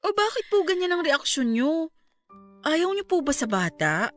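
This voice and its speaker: A woman's voice: sits high, sing-song, normal speed, fairly bright tone. Radio drama: actress as a kind young woman, polite and reassuring, with light music underneath. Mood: excited. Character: cheerful, caring young woman niece. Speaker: niece about to work abroad. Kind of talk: Tagalog radio drama acting.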